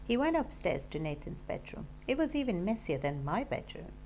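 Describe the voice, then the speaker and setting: A woman's voice: medium pitch, very animated, normal speed, darkish tone, slight background noise, low-quality recording. A woman reading a children's story aloud expressively, like a class teacher.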